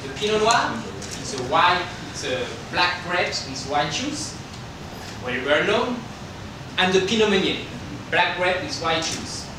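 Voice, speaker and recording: A middle-aged man from France with a French accent, presenting a tasting to a group as a winemaker in halting, simply phrased non-native English. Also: sits high, sing-song. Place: indoor hotel function room. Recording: roomy, distant microphone with background noise.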